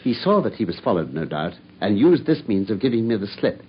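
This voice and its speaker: A brilliant English detective in a radio play, husky-voiced with lively swings of pitch. He is self-assured, theatrical and wryly amused at himself. The sound is slightly muffled. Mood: rueful, admiring. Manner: brisk, wry, self-amused storytelling. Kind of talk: British radio drama acting. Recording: dull, muffled radio drama recording.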